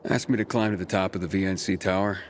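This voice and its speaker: A man's voice: sits low, steady tone, quick pace, a little hiss or hum, close to an everyday voice. An actor playing a guarded young male wanderer who answers in short, laconic lines.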